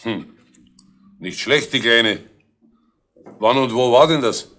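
A man's voice: medium pitch, takes it slowly, a bit bright, slight background noise. A man playing a shrewd, grumbling police inspector in a radio crime play, folksy and dry, with a Bavarian accent. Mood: wry, businesslike. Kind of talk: 1970s German radio play acting.

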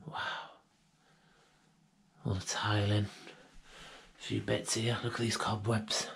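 A young British man quietly narrating as he walks through an abandoned house, musing and sometimes half-whispering, recorded on a handheld camera indoors. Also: on the low side, flat delivery, takes it slowly, vocal fry, a little hiss or hum. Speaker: urban explorer vlogger. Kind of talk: casual walkthrough commentary. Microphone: handheld action camera.

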